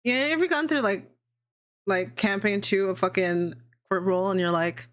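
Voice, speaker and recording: A woman's voice: mid-range voice, normal speed, fairly bright tone. A young adult woman hosting a casual livestream, chatting in a rambling way, swearing freely and laughing often. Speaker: VTuber streamer hosting a worldbuilding session.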